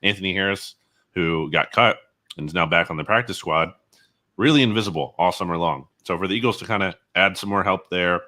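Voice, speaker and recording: A man's voice: deep voice, a little fast, bright, forceful tone. A man giving analysis as a guest on a sports interview show.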